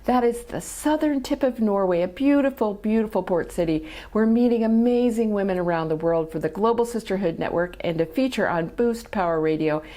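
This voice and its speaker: A woman's voice: medium pitch, brisk, hardly any pauses, warm tone. A woman hosting an interview, asking questions in an enthusiastic, encouraging way. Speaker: host, interviewer. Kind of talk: friendly interview.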